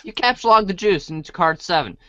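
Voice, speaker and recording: A man's voice: sits high, animated, fairly quick, bright, forceful tone, no room sound. Male narrator for a comic read-along, informal, prone to stumbles, close dry sound with dull top end. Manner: informal, stumbles while reading. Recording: dry, dull band-limited audio.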